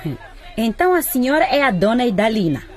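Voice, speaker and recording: A woman's voice: fairly high pitch, sing-song, brisk, a faint crowd. A proud, scolding market-vendor mother. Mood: suspicious, curt. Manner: brusque, indignant, exclaiming. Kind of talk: radio drama acting.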